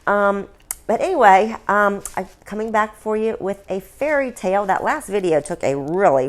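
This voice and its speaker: A middle-aged or older woman vlogging in a casual, chatty, folksy way, like a storyteller talking to her viewers. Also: medium pitch.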